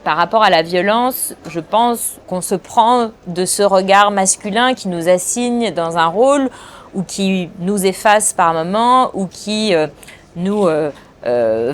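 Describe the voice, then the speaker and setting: Reflective woman director, halting speech. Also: mid-range voice, expressive. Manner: thoughtful, hesitant, frequent restarts and fillers. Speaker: film director. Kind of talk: interview answers.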